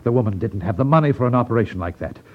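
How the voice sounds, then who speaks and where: An actor playing a suave, cold-blooded crime boss with genteel, condescending politeness in a 1950s radio drama. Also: male voice, mid-range voice, lively intonation, racing, natural voice. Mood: incredulous, pressing. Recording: muffled vintage 1950s radio recording.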